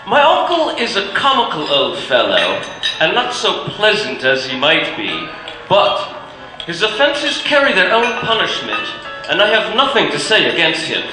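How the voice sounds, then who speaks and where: An actor playing a kindly young nephew in an old-time radio drama, speaking good-humoredly. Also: male voice, sits high, huge pitch swings, unhurried, exaggerated voice. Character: cheerful young nephew Fred, good-natured. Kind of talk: 1940s radio acting. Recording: echoey old radio broadcast with music.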